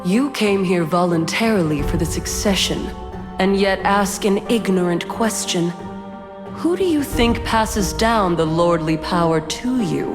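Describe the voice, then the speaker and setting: A woman voicing a haughty, cold ancient female spirit who judges heirs in a game, measured and condescending, with a long reverb. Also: mid-range voice, animated, natural voice. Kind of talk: dramatic game voice acting. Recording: long cavernous reverb, music behind.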